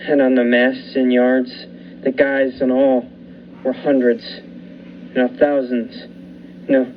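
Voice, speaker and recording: Suspenseful lighthouse-keeper narrator, played by a man, measured delivery, mid voice; dull muffled recording. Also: medium pitch, level tone, natural voice. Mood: tense. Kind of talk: student radio play narration. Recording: muffled with faint hiss.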